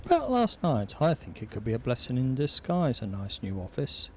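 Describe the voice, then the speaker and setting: A British actor playing an earnest, slightly odd office colleague in a comedy audio drama, eager and literal in manner. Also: male voice, medium pitch, wildly swooping pitch, normal speed, a little mellow, slight background noise.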